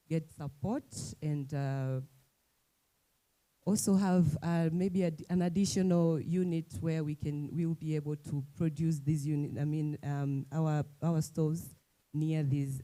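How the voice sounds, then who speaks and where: Hesitant man speaking very slowly. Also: on the high side, warm tone, low-quality recording. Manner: hesitant, many ums and restarts. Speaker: entrepreneur. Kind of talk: panel remarks.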